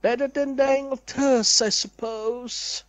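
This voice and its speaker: A man's voice: very high-pitched, steady tone, takes it slowly. A young man reads a visual novel aloud as a let's play host, with dramatic expression and asides.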